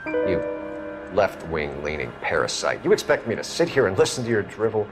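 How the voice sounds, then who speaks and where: An actor playing an earnest, hard-nosed young police officer, clipped and formal, in a video game. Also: male voice, low-pitched, very animated, normal speed. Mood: goading. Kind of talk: cinematic video game acting. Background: music.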